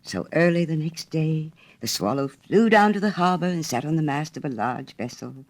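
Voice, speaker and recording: A woman narrates a fairy tale from a storybook. Her reading is expressive, with pitch that swings widely from phrase to phrase. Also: normal speed.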